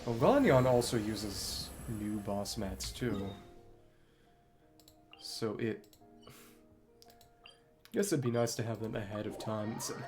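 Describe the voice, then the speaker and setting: A man's voice: lowish voice, lively intonation, takes it slowly, pauses often. Man musing over game music. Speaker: video game streamer. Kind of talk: casual gameplay commentary, thinking aloud. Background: video game music.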